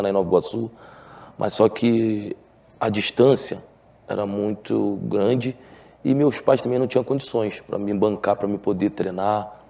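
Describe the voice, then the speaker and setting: A low, gravelly man with a Carioca accent talks earnestly about his past. His voice is dark and mellow, and his speech is full of small restarts and filler tags. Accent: Carioca Portuguese. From Rio de Janeiro, Brazil. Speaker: former footballer, guest. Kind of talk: relaxed TV interview.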